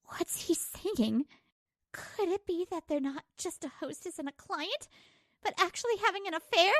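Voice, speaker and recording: A woman's voice: very high-pitched, lively intonation, moderate pace, a bit bright, no room sound, clean studio sound. A woman playing a hurt, betrayed young wife who narrates her troubles emotively in a manga dub. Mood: shocked, hurt. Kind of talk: dramatised first-person manga dub narration.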